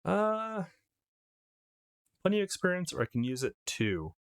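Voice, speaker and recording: A man reads as an awkward, easily flustered young man, the hero of a visual novel. He sounds casual and a little hesitant, with very changeable pitch. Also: big pitch swings, measured pace, clear, resonant tone, heavily acted.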